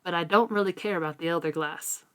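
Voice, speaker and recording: A woman's voice: fairly low pitch, fast talker, smooth. A woman co-hosting a casual book discussion, giving blunt opinions and apologizing for them. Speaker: co-host of a book discussion podcast; middle school writing teacher.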